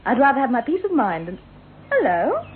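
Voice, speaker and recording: An actress playing a gentle, devoted wife in a radio play. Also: female voice, high-pitched, huge pitch swings, fairly quick. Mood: cheerful, relieved. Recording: noisy, tinny old radio recording.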